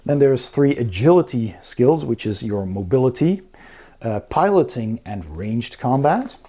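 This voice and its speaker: Mellow-voiced man casually explaining. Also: medium pitch, sing-song, unhurried. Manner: conversational, explains step by step. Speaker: board game reviewer and channel host. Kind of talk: casual explanatory review voice-over.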